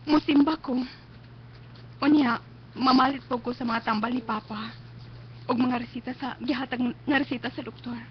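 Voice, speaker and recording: An actress playing an anxious, devoted daughter in a radio drama. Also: female voice, high voice, steady tone, normal speed, long pauses, lightly acted. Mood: caring. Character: anxious, devoted daughter tending her stricken father. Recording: muffled lo-fi stream with faint noise.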